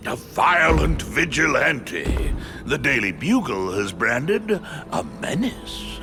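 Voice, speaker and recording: A man's voice: mid-range voice, sing-song, takes it slowly, gravelly, full character voice. A man playing a pompous, menacing crime boss in a video game, speaking formally with cold self-assurance. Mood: indignant. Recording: game audio with music behind.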